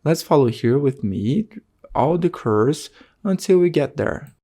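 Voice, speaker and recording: A man's voice: on the high side, expressive, a little slow, warm tone. Man teaching an online course. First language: Portuguese. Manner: keeps checking in with "okay?"; non-native phrasing, frequent fillers. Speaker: course instructor.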